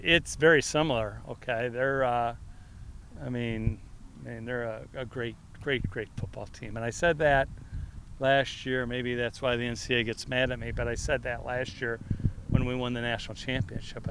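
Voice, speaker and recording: A middle-aged man, a head football coach with a loud voice, answering reporters in a loose, rambling way full of fillers and restarts. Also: medium pitch, fairly even intonation, normal speed, slight background noise. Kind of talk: press conference answers.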